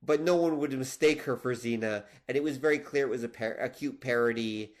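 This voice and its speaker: A Canadian man talks casually as a podcast co-host, in an average-pitched male voice. He explains by example and presses his points with emphasis, often qualifying or correcting as he goes. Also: a little fast. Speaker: teacher.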